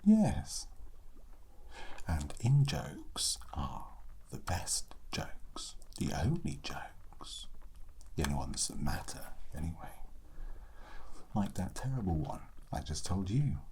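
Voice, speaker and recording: A performer voicing a sassy undead immortal in an ASMR roleplay monologue, halting and sardonic, often slipping into a breathy whisper. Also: low-pitched, mellow, a little hiss or hum, full character voice. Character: sassy undead immortal, musing and scornful of mortals.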